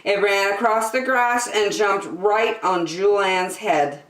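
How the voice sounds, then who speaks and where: A woman reading a picture book aloud to children, expressive and deliberate in her emphasis. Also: mid-range voice, distant mic. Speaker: children's story-time reader. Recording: echoey, roomy sound.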